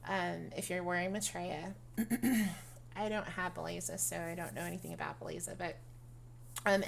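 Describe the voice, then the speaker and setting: Young woman w a gravelly dry voice, rambly casual review talk, lots of ums. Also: medium pitch, fairly bright tone, slight background noise. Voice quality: raspy, dry voice. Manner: rambling, many ums, laughs often. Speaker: virtual-world fashion vlogger. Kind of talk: casual product review chat.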